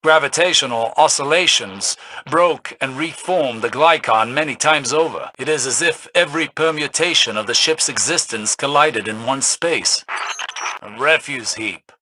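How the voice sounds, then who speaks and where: A man playing a grave, scholarly veteran commander in a video game, with a measured, formal, solemn delivery. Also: medium pitch, pushed and bright, a little hiss or hum, lightly acted, low-quality recording.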